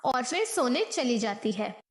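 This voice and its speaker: A woman's voice: a little high, sing-song, unhurried. A woman narrating a devotional moral story from a script. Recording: echoey, roomy voice.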